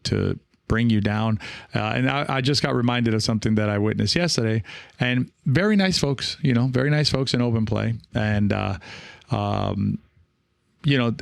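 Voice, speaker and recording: A man talking casually as a podcast host and coach, reflective and encouraging, halting now and then and often checking in with the listener. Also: medium pitch, creaky, no echo, studio quality. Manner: reflective, halting, with frequent rhetorical check-ins.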